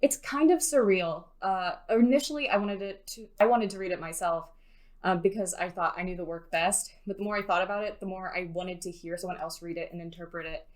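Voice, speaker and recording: A woman's voice: on the low side, fairly quick. A woman giving casual, conversational answers as an interviewed poet.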